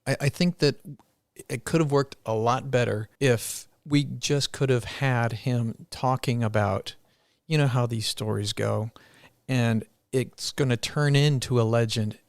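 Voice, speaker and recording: A man co-hosting a movie review podcast in casual chat, speaking haltingly with repeated restarts. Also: medium pitch, no echo. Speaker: podcast co-host.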